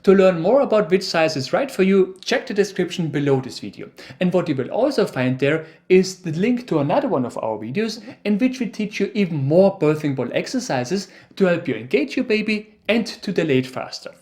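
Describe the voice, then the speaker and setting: A man's voice: sits high, sing-song, fairly quick, hardly any pauses, a little mellow. A man with an Austrian accent, presenting an educational video as the science expert, with dry, teasing humor.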